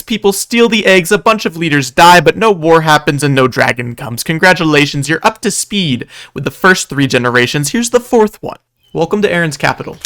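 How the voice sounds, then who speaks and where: A man's voice: fairly high pitch, sharp tone. A man narrating a scripted recap in a casual, wry way that slips into dramatic storytelling. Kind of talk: scripted narration, video recap of a roleplay event.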